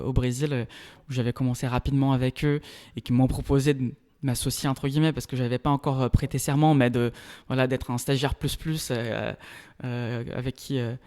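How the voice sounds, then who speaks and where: Guest lawyer, thirties, from Brazil, Portuguese. Mellow, measured, pauses to find words. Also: male voice, medium pitch. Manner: measured, explanatory, searching for words. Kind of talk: casual interview talk.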